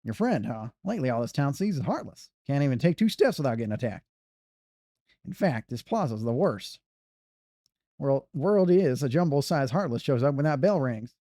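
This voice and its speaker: Man voicing a gruff middle-aged game mechanic, dark dry tone. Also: mid-range voice, big pitch swings, moderate pace, no echo. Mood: warning.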